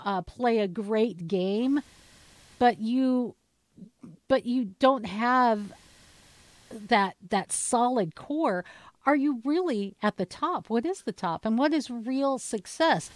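Higher-voiced woman host, dry sound. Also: slow, a little mellow, no echo. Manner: conversational, asks rhetorical questions. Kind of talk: casual panel discussion.

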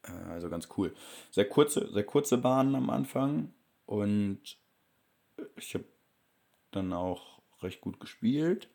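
Young male vlogger, deep creaky voice, loose rambling storyteller. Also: mellow. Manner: rambling, many fillers and restarts. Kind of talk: casual storytelling.

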